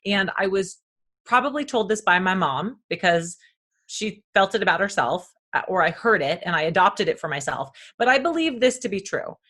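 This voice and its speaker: A woman guest on a wellness podcast who talks loudly and emphatically, sharing personal stories and affirming the host. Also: mid-range voice, expressive, moderate pace. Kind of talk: conversational wellness talk.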